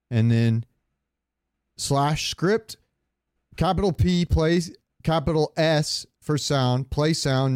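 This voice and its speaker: A man's voice: mid-range voice, a little mellow, no echo, clean studio sound. A man co-hosting a podcast, chatting casually about video games in a rambling way with some swearing. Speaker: podcast co-host.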